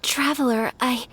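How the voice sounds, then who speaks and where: An actress voicing a gentle, refined young noblewoman in a video game, speaking politely and formally with reserved earnestness. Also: sits high, slight background noise. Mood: shy, nervous. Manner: polite, formal, measured, softly hesitant. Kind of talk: video game character dialogue. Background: background music.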